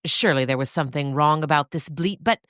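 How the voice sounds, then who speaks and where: A woman reading a scripted story as narrator, with dramatic, suspenseful and deliberate phrasing. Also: deep voice, fairly bright tone, no room sound, studio quality.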